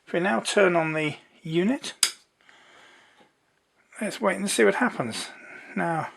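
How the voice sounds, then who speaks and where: Unhurried presenter explaining at a medium pitch. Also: lively intonation, creaky, fairly bright tone, slight background noise. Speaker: presenter of an electronics demonstration. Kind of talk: informal hands-on technical demonstration.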